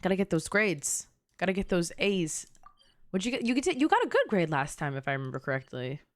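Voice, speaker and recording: Young female streamer. Casual gameplay chatter, teasing asides to viewers, animated intonation. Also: fairly low pitch, fairly quick, no room sound. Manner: bantering, teasing, talks to chat. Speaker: game streamer. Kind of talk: casual livestream chat and gameplay commentary.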